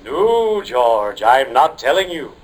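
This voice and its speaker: An actor playing a man's conscience in a 1950s radio sitcom, measured, knowing and gently admonishing. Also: mid-range voice, very animated, low-quality recording. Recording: vintage 1950s radio recording.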